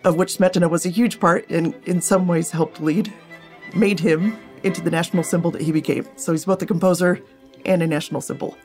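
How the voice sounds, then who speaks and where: A woman with a lowish voice explains her subject as an interviewed expert. She talks it through in a relaxed way, circling back to rephrase, with faint music underneath. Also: a little fast. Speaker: interviewed scholar. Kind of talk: interview answers.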